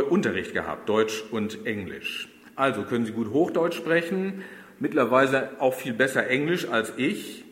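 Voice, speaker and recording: A middle-aged German man preaching a sermon to his congregation, speaking conversationally and turning to them with questions. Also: medium pitch. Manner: conversational, addresses the congregation directly. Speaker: pastor. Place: church. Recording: roomy church with long reverb.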